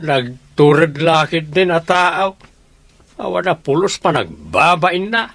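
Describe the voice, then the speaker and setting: A man's voice: fairly high pitch, very animated, takes it slowly, gravelly, a bit bright, no echo, full character voice. An actor playing an indignant, disapproving family man in an Ilocano radio drama, speaking reproachfully.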